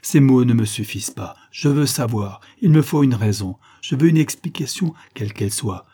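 A man's voice: mid-range voice, normal speed, warm tone, breathy edge, natural voice. A man voicing an ardent, boyish young man in love, exclamatory and insistent, in a storybook reading. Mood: anguished insistence.

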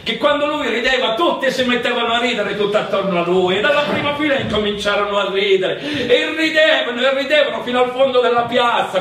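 A man acts out an eager Sicilian plotter in a theatrical storytelling style. His voice sits high for a man. It rings heavily in a roomy hall, picked up from a distance. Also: pushed and bright. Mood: amused storytelling. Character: eager conspirator preparing a popular coronation. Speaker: stage actor and storyteller. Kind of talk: theatrical narration in Sicilian-flavoured Italian. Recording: very echoey hall, distant mic, faint noise.

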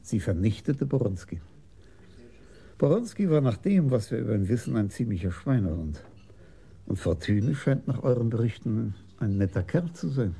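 An actor playing a thoughtful senior police commissioner in a radio crime drama, measured and dryly probing, with a husky voice. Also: male voice, mid-range voice, sing-song, dark tone, exaggerated voice. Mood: measured, reflective. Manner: measured, deliberate, philosophical.

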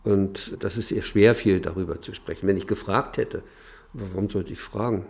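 An older man remembers in an interview, halting and restarting as he goes. His voice is low and gravelly, with a lot of fry. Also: a little mellow. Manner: hesitant, halting recollection. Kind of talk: interview recollection.

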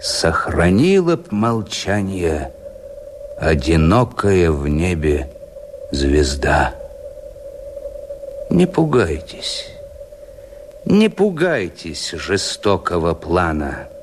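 Scornful conspirator, low pitch, declamatory, deliberate, radio play, clear background noise. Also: male voice, wildly swooping pitch, exaggerated voice. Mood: urgent persuasion. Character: scheming, scornful male rebel conspirator. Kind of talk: theatrical radio verse declamation. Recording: noisy radio play soundtrack.

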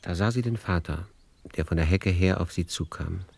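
A man narrating a literary radio play in a measured, lyrical storytelling manner. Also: low voice, gravelly, darkish tone, slightly rough.

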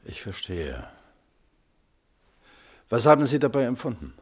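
An actor playing a mild, thoughtful committee member in a German radio play, speaking politely and tentatively. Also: male voice, mid-range voice, sing-song, measured pace, breathy edge.

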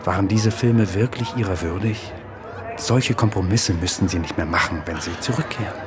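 An actor playing a flattering, insistent government emissary of the Nazi regime in a German radio drama, polite and smoothly persuasive. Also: male voice, low voice, moderate pace, crowd noise, noisy background, natural voice. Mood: insinuating.

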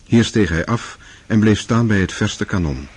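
A man reading scripted literary narration as the narrator of a radio drama, with a husky voice. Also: fairly low pitch, animated, moderate pace, darkish tone, slight background noise.

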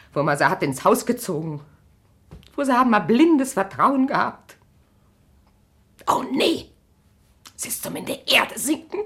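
Weary middle-aged country wife, low voice, very slow and halting, bitter yet proud, radio drama. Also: female voice, a bit bright, slightly rough, exaggerated voice. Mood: anguished, accusing. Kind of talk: naturalistic German radio drama acting.

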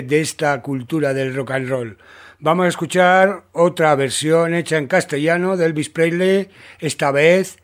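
A man with a Spain accent presenting a music radio show in a semi-scripted way. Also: fairly high pitch.

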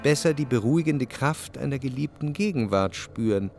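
An introspective lover played by a man recounts his memories in a measured, literary way, at a medium pitch. Faint music runs behind this radio-drama narration. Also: animated, natural voice. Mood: contemplative remembering. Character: reflective male narrator, a jealous literary lover remembering.

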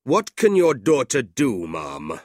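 A man's voice: mid-range voice, wildly swooping pitch, no room sound, clean studio sound, pitched above the performer's own voice, exaggerated voice. A man voicing a cold, scornful older businessman uncle with a curt, sneering delivery in a storybook reading. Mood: contemptuous. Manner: curt, sneering, drawn-out emphatic words.

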